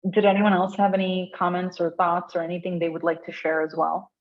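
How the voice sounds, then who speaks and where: A woman from India with an Indian accent, speaking conversationally and earnestly as she answers audience questions, with expressive, laughter-prone delivery. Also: on the low side, fairly quick. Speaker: guest speaker at a design meetup. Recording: dull, lo-fi online call audio.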